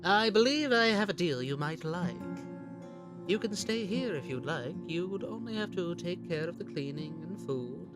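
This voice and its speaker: A man voicing a sly, courteous, menacing gentleman hunter in a comic dub, speaking in a theatrical, genteel way. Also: high voice, lively intonation, moderate pace. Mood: persuasive, sly. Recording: background music under voice.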